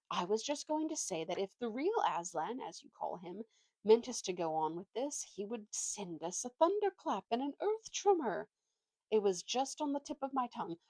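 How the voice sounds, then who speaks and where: A woman's voice: mid-range voice, a little mellow, no echo, poor audio. A woman voicing a scheming, bossy male ape in a storybook reading, glib and persuasive. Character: scheming, fast-talking male ape bullying his companion. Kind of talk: storybook read aloud.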